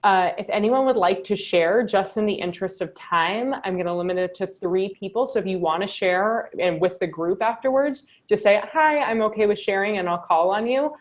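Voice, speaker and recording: A woman's voice: mid-range voice, normal speed, few pauses. A woman hosting a journaling workshop over a video call, talking casually with many fillers and "right?" asides. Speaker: workshop host.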